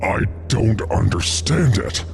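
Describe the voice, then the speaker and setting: A man voicing a gruff, villainous wolf master in an audio fantasy story. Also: on the low side, big pitch swings, slow pace, over music, exaggerated voice. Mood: baffled, angry. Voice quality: gruff, gravelly.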